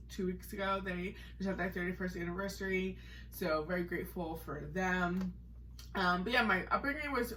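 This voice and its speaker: A woman's voice: lowish voice, fairly bright tone, recorded from a distance, a little hiss or hum, rough recording. An American woman co-hosting a podcast, telling her personal story in a casual, chatty, conversational way. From Massachusetts, United States.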